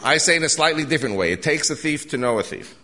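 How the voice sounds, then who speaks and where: A man's voice: a little high, expressive, quick pace, bright, forceful tone, slight background noise. An older American man giving an urgent, earnest lecture to a live audience, often restarting his sentences. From United States. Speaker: guest lecturer.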